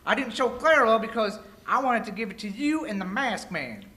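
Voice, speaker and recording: A man playing an excitable, crusty old prospector with folksy Old West talk in an amateur radio play. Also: high voice, moderate pace. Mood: generous, eager.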